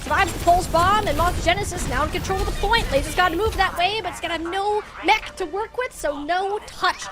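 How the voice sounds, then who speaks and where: A woman doing animated live esports play-by-play commentary. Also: very high-pitched, fairly quick, music in the background. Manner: animated, exclamatory.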